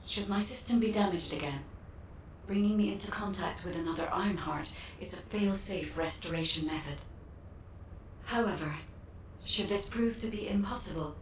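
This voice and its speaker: A low female voice, set back in a roomy space with faint noise, an actress playing a video game's artificial guide. She is solemn, formal and exact, weighing each phrase. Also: distant mic, close to an everyday voice. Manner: measured, formal, precise. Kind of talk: scripted game cutscene acting.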